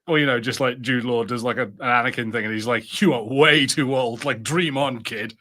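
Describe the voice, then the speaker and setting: A man co-hosting a podcast, chatting casually with halting starts and acted-out imagined lines. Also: medium pitch, fairly even intonation, pushed and bright. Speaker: podcast co-host. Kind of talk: casual review chat.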